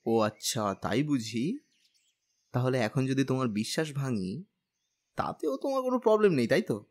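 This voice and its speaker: Man acting a lovestruck young husband, lively pitch. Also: normal speed, close to an everyday voice. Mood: playful. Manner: pleading, playful, whiny with elders. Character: lovestruck, cheeky, stubborn young husband and son. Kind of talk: Bengali audio drama acting.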